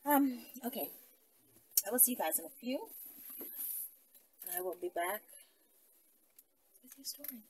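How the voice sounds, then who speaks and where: A woman's voice: a little high, lively intonation, measured pace, pauses often, darkish tone, recorded from a distance, slight background noise, low-quality recording. A woman hosting a casual, rambling monologue for her followers, talking in a bossy, playful, slangy way. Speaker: host addressing followers. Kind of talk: casual, rambling monologue giving instructions to listeners.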